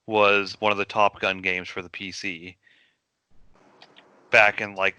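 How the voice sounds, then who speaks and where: A man co-hosting a casual podcast chat, telling quick stories and steering the talk between topics. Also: fairly low pitch, flat delivery, bright, forceful tone, clear, resonant tone, dry recording. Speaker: podcast co-host.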